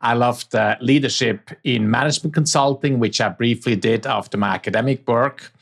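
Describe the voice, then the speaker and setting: A man's voice: medium pitch, normal speed, gravelly. A middle-aged man from Switzerland, a native Swiss German speaker with a Swiss German accent, giving conversational answers as an interview guest.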